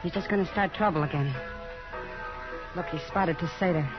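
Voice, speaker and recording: A woman's voice: lowish voice, moderate pace, close to an everyday voice. An American actress playing a worldly, friendly frontier saloon woman in a 1950s radio Western, with a familiar, easygoing way of talking. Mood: wary. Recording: muffled old radio recording with music.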